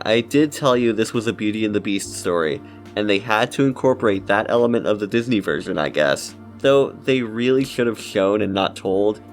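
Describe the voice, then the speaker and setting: Male video essayist, low pitch, casual scripted commentary over background music.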